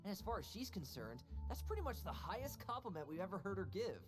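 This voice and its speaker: A woman voicing a quietly confident young female assassin in a video game, with a measured, dry manner. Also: mid-range voice, sing-song, close to an everyday voice. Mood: warm. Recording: game audio with music behind. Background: game music.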